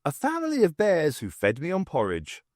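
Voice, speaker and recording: Audiobook lead: man as bossy, witty adventurer woman, theatrical, very lively pitch. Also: moderate pace, dry recording, studio quality. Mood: jaunty bluffing. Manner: decisive, stern, theatrical. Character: brisk, bossy adventurer woman, wry and quick-witted.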